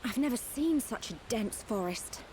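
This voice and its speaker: A woman's voice: a little high, animated, moderate pace, mellow, a little hiss or hum, close to an everyday voice, low-quality recording. A woman playing a composed, capable young medic in a video game. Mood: wry.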